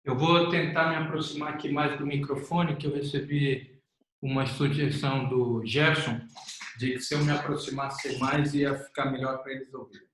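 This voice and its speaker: A man with a Brazilian Portuguese accent, hosting a wine interview in a relaxed, rambling way. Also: medium pitch, normal speed, slight background noise. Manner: relaxed, rambling, many fillers. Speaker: wine magazine host. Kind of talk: casual interview chat. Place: office room. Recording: very echoey room.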